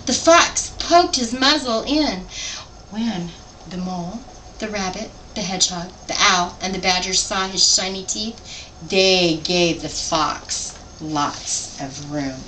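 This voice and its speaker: A woman reading a children's picture book aloud expressively, pausing to put simple questions to her listeners. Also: mid-range voice, pushed and bright. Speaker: storybook reader. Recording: distant mic, faint background noise.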